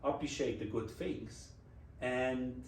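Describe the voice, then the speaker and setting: A man's voice: medium pitch, slow, far from the mic, a little hiss or hum. A Swiss man in his forties with a Swiss German accent, reflecting in a casual interview as a guest, speaking English as a second language. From Switzerland. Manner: reflective, non-native phrasing with restarts. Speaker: interview guest, design executive. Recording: roomy echo, voice from off-mic.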